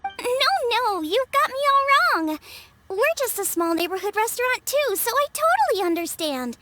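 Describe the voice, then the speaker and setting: Cheery teen girl chef from a game, super high and animated. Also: moderate pace, slight background noise, exaggerated voice. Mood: earnest, warm. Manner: bubbly, eager, expressive. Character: perky, earnest teenage girl chef, cheerful and encouraging. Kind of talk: video game voice acting.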